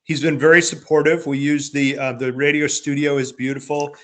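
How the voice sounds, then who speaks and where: A man's voice: on the high side, flat delivery, a little fast, a bit bright. Man chatting in a reflective way. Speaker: podcast host, playwright and producer. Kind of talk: casual conversational chat.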